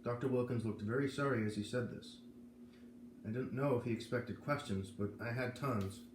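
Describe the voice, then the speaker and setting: A man's voice: medium pitch, normal speed. A man narrating a children's novel aloud as a read-aloud host. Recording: roomy echo, faint background noise.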